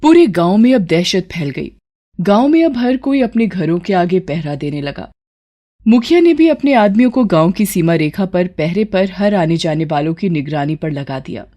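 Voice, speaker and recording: A woman's voice: fairly low pitch, sing-song, moderate pace, mellow. A woman narrates a moral story in a scripted storytelling style.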